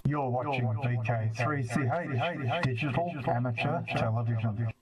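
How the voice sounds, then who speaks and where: A man's voice: mid-range voice, a little slow, over a noisy crowd. A man giving an informal on-air introduction as an amateur television operator. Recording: very noisy radio-transmission audio.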